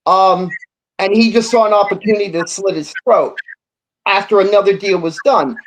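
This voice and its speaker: A man's voice: sits high, moderate pace, rough recording. A man in a casual sports debate, explaining his view in an insistent yet conciliatory way.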